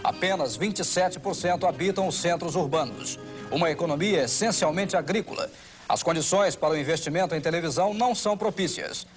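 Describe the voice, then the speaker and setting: A man's voice: fairly high pitch, a little fast. A Brazilian man reading scripted documentary narration. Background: background music.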